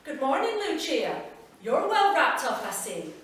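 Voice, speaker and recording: A woman's voice: high-pitched, very animated, unhurried, fairly bright tone, voice pushed up, exaggerated voice. A woman voicing a friendly, teasing old village man. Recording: echoey live room from far off.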